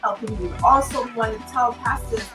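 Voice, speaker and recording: A woman from Trinidad and Tobago with a Trinidadian accent, hosting an online talk show in a lively, teasing way. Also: on the high side, fairly quick, recorded from a distance, music in the background. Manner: lively, laughing and teasing guests. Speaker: host.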